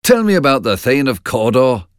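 A man's voice: medium pitch, big pitch swings, moderate pace, clean tone, no room sound, studio quality, pitched above the performer's own voice, natural voice. A man voicing a decisive, commanding king in a storybook reading, with an emphatic, imperious manner. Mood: demanding. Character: commanding male Scottish king Duncan, decisive ruler. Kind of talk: storybook narration.

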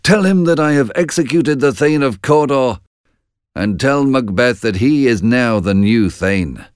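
A man's voice: mid-range voice, expressive, a little slow, clean tone, no echo, studio quality, voice pushed up, natural voice. A man voicing a decisive, commanding king in a storybook reading, with an emphatic, imperious manner. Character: commanding male Scottish king Duncan, decisive ruler. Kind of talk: storybook narration.

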